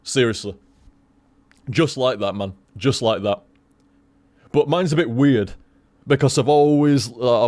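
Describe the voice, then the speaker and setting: A man's voice: medium pitch, darkish tone, no room sound. Swearing Yorkshire man chatting to stream. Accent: Yorkshire, softened. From Leeds, Yorkshire, England. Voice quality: swears freely, laughs often, repeats himself. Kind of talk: casual rambling stream chat.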